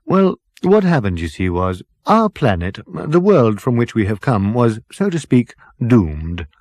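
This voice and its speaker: A man's voice: medium pitch, very animated, measured pace, a little mellow, no room sound, clean studio sound. A man voicing a genial, vague ship's captain, rambling politely in a comic science fiction audiobook. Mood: rambling, cheerful. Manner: rambling, hesitant, chummy, polite upper-class politeness. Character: genial, vague, dithering male ship's captain, cheerfully unbothered.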